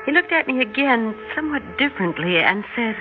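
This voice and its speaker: An actress with an American accent playing a calm suburban housewife and mother who has hunches, in a radio drama. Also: a little high, a little fast. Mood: composed. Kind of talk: radio drama narration and dialogue. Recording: muffled radio recording with prominent music.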